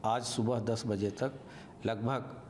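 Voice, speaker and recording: A man speaking as an official briefing the press, measured and halting, with pauses. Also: lowish voice, steady tone, music in the background. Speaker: government official. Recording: faint ambient background noise.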